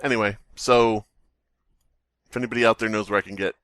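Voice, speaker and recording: A man's voice: on the low side, steady tone, fairly quick, a bit bright, dry recording. A man hosting a podcast, ranting in an exasperated, profane way about a store. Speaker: podcast host. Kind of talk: comedic rant.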